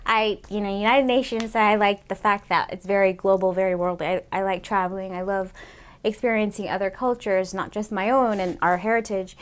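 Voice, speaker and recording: A woman's voice: mid-range voice, steady tone, a little fast, clean tone. Woman comic in her late 30s, talks loose and rambly, lots of like and you know, from seattle. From Seattle, Washington, USA. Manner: rambling, chatty, many "like" and "you know" fillers. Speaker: comedian guest. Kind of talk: casual interview chat.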